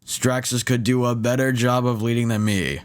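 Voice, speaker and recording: An actor playing a reluctant, overworked robot leader in an audio drama, dry and reserved. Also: male voice, mid-range voice, steady tone, moderate pace, clean tone, lightly acted.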